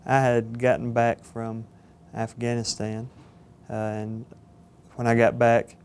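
A young man in his twenties with a Southern Georgia accent, earnest and halting with fillers, speaking in an interview. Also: medium pitch, monotone, a little mellow, a little hiss or hum. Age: 20s. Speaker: cadet candidate. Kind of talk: interview testimonial. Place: studio with plain backdrop. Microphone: lapel mic.

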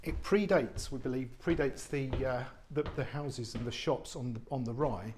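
A man, an estate agent giving an unscripted walk-through tour of a warehouse flat, chatty and full of fillers and restarts. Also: mid-range voice, normal speed, noisy background. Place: large warehouse flat, indoors. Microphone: handheld phone camera, speaker off screen.

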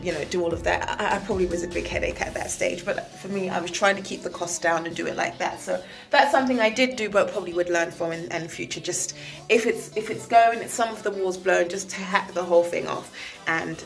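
A woman's voice: mid-range voice, gravelly, fairly bright tone. A woman in her thirties giving a chatty, enthusiastic walk-through of a renovated house, filmed on a handheld phone in empty rooms. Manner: chatty, enthusiastic, repeats phrases for emphasis. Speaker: property developer. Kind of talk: walk-through property tour vlog. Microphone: phone camera held at arm's length.

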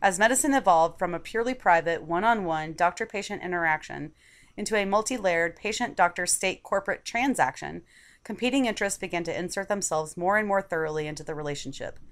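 Lower-pitched woman reading an article aloud. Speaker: article reader. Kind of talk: scripted narration of an opinion article.

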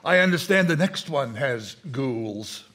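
An actor playing a macabre, punning horror host with a theatrical, teasing manner in a live radio show. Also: male voice, medium pitch, expressive, measured pace, a bit bright. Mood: impatient, ghoulish. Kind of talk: old-time radio horror hosting.

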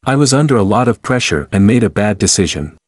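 A man with a somewhat low voice reads short answers for a language lesson, each line carefully paced. The sound is dry and close, with no room around it. His delivery stays clear and even. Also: no room sound. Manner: clear, even, deliberate reading. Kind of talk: slow repeated example sentences for learners.

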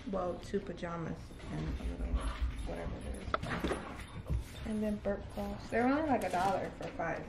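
A young woman chatting casually at home, soft-spoken and trailing off with lots of "like". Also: mid-range voice, moderate pace, echoey room, heavy background noise. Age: young adult. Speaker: vlogger's wife. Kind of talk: casual conversation. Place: house indoors.

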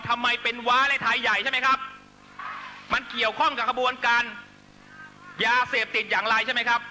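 A man's voice: very high voice, steady tone, brisk, bright, forceful tone, soft background music, low-quality recording. A man delivering a fiery political speech to supporters, accusatory and emphatic, constantly pressing listeners with rhetorical questions. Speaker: political rally speaker.

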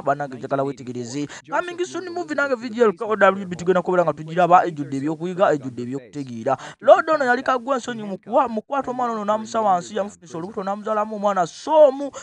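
Rapid-fire excitable male trailer narrator. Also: sits high. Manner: excitable, chuckling, repeats catchphrases. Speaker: movie VJ narrator. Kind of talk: hyped movie trailer narration.

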